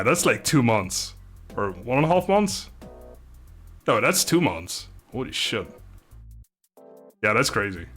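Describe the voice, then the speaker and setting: A man in his thirties from the Netherlands, chatting casually with livestream viewers in a rambling, laid-back, low-energy way with mild swearing. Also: a bit bright. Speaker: live streamer. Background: music.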